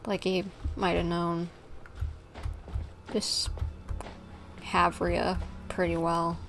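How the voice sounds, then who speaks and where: A woman giving casual livestream commentary on a game, musing and thinking out loud. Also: fairly low pitch, little pitch movement, very slow, creaky, faint music behind.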